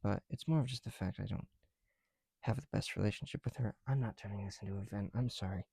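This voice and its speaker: Soft, husky, creaky young man doing ASMR. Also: lowish voice, fast talker, no room sound, clean studio sound. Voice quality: soft, breathy, often drops to a whisper. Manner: self-deprecating, rambling, apologetic. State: somewhat breathless through a heavy mask. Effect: speaking through a mask. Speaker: ASMR video creator. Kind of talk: ASMR, casual chat while building bricks. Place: indoors, at home.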